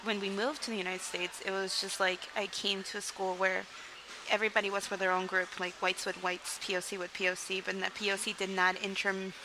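Young woman from Mexico, interview guest, open and rambling, steady mid-range pitch, noisy recording. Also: fairly quick. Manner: candid, rambling, frequent "like" and "um", laughs between sentences. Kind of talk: casual interview storytelling.

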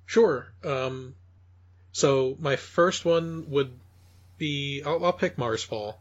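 Halting man on a dull-sounding mic. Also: medium pitch. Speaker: podcast guest. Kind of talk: casual chat.

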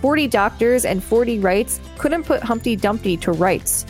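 A woman's voice: on the high side, lively intonation, normal speed, a little mellow, soft background music. A woman co-hosting a podcast, reading an encyclopedia article aloud with casual asides. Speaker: podcast co-host and reader.

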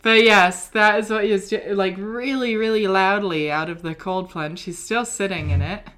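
A woman's voice: mid-range voice, fairly even intonation, normal speed, a bit bright. A young British woman co-hosting a podcast, telling a story in a casual, rambling way with many ums and likes. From Britain. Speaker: podcast co-host. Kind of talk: casual chat.

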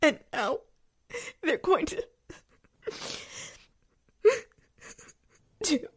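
A woman's voice: high-pitched, animated, slow pace. An actress playing a fragile, devoted wife in despair, her voice tearful and breaking, in 1940s-style radio melodrama. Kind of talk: 1940s radio drama acting.

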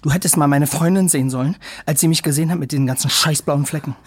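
A defensive young policeman in an audio drama. His voice is husky and slightly high, his delivery curt, bitter and dismissive. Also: quick pace. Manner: curt, dismissive, self-righteous. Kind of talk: German audio drama acting.

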